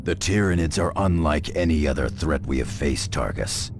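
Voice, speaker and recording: Very deep, gravelly veteran soldier. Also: male voice, animated, noisy background, heavily acted. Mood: ominous, grave. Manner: grave, measured, explanatory. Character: grim veteran space marine scout sergeant. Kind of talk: dramatic video game acting. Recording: music behind the voice.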